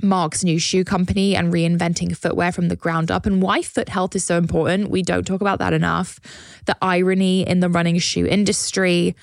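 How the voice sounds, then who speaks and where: A woman hosting a podcast in a casual, enthusiastic, chatty way, her voice slightly hoarse as she loses it. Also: fairly low pitch, flat delivery, moderate pace, no echo, clean studio sound. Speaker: podcast host.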